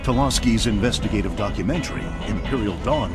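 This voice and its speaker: A man's voice: fairly low pitch, fairly quick, loud music behind the voice, close to an everyday voice. A man playing a slick, dramatic TV news anchor in a video game newscast. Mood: probing.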